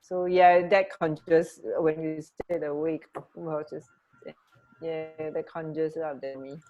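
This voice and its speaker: A woman with a slightly low voice co-hosts a casual radio show. She talks at a very slow pace, musing aloud and hesitating, letting her sentences trail off. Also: little pitch movement, lo-fi sound. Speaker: radio co-host. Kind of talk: casual, unscripted radio chat.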